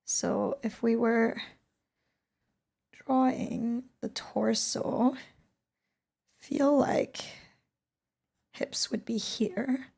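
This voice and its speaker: A young woman talks through her drawing process in a relaxed, rambling way, often stopping to correct herself. Her voice is raspy and worn from a long stream the day before, with a gravelly edge. It sits a touch higher than most women's and sometimes sinks to a breathy near-whisper. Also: very slow, long pauses. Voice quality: raspy, hoarse-sounding today. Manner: rambling, self-correcting, soft and half-whispered at times. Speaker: digital artist. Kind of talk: casual talk-along while drawing. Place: at home, drawing on a tablet.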